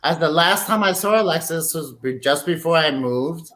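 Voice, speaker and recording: A man's voice: fairly high pitch, moderate pace, sharp tone. A man appearing as a drag queen guest, cheeky and chatty in a casual catch-up.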